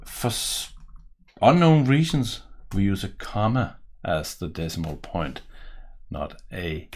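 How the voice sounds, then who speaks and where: A man's voice: sits low, big pitch swings, slow pace, darkish tone. A man in his forties from Denmark with a Danish accent, giving a casual coding tutorial and thinking aloud while he types, recorded at a desk in a home office. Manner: thinking aloud while typing, self-correcting. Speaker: programming tutor.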